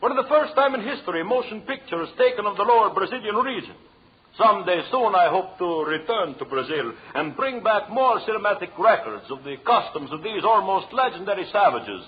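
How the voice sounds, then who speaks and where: An actor playing a formal explorer addressing an audience in 1940s radio style. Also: male voice, high-pitched. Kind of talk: 1940s radio acting, oratory. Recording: muffled vintage radio recording.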